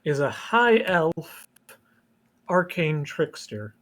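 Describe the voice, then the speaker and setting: Slow, terse man with a higher voice. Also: very animated, a bit bright. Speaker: tabletop game player. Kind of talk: casual chat. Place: remote call over voice chat.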